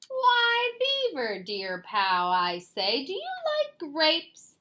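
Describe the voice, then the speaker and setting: A woman's voice: high-pitched, huge pitch swings, drawn-out words, bright, forceful tone, pitched above the performer's own voice, heavily acted. A woman voicing a sly, smug male fox in a children's storybook, boastful, wheedling and condescending. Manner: boastful, theatrical, wheedling and dismissive.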